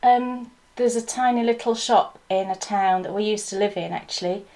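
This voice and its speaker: A woman's voice: mid-range voice, moderate pace, mellow. A woman co-hosting a casual chat show at home, telling stories in a chatty, easygoing way. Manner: chatty, storytelling, laughs easily. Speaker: co-host.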